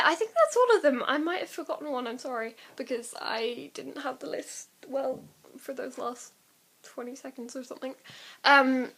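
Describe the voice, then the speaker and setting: Young female vlogger, giggly and self-effacing, slow casual delivery on the higher side. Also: a bit bright. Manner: giggly, self-deprecating, informal. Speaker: amateur vlogger. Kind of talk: casual rambling chat to camera.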